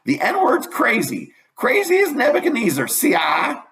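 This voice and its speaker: A man voicing a shrill, gossiping old farm woman who insists on repeating herself. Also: wildly swooping pitch, measured pace, a bit bright, echoey room, exaggerated voice. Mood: indignant.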